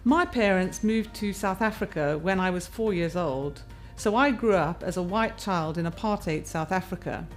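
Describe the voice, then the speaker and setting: A woman who is a partner at a firm, speaking earnestly and in a measured way in a corporate testimonial. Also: fairly low pitch, soft background music. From England.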